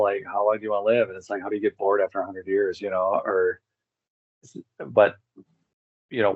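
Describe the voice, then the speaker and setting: A man's voice: fairly low pitch, darkish tone. A man explaining things casually as a podcast guest, hesitant and searching for his words. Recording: close, dry but dull lo-fi mic.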